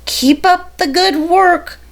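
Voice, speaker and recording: A woman's voice: very high voice, slow, voice pushed up. A woman voicing a polite, caring male seahorse in a children's storybook, courteous and encouraging. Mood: friendly, encouraging.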